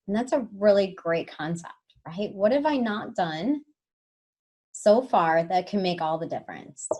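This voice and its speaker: A woman's voice: medium pitch, animated, measured pace, dark tone. A woman in a webinar discussion, agreeing and encouraging others. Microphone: video call.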